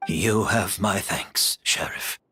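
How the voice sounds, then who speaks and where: A man's voice: deep voice, slow pace, pushed and bright, a little hiss or hum, exaggerated voice. A man voicing a remorseful, formal and earnest former accomplice in a video game drama. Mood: resigned, courteous.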